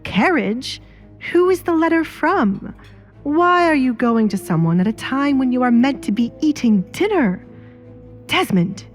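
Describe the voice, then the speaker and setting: Audio-drama stepmother acted by a woman narrator: middle-aged baroness, haughty, indignant, voice pushed high, faint music. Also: lively intonation, unhurried.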